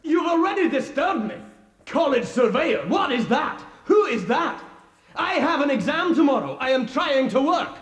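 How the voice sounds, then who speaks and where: A man's voice: extremely high pitch, big pitch swings, moderate pace. A man in a radio drama playing a frantic, outraged student who blusters and declaims, in an echoey room. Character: irate, frantic male student, loud and blustering.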